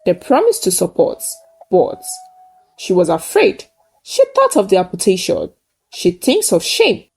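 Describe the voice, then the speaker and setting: A woman's voice: mid-range voice, wildly swooping pitch, a little slow, a little mellow, slightly rough, soft background music. A woman narrates a folktale in short, simple sentences, solemn and emphatic. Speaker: storyteller. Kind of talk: dramatic folktale narration with a closing moral.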